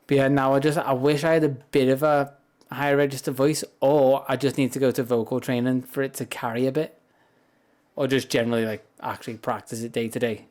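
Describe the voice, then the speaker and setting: A man with a deep, grumbly voice chats casually and rambles on a livestream, speaking softly into a close, high-gain mic. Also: little pitch movement, moderate pace, long pauses. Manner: rambling, chatty, soft-spoken without projecting. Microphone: high-gain streaming mic up close.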